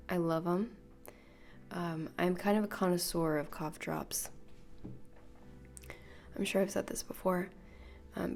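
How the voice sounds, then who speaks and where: A woman hosting a solo podcast, rambling softly and half-whispering, her voice nasal and congested from illness. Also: lowish voice, creaky. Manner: soft, half-whispered, meandering. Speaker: podcast host. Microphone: podcast microphone.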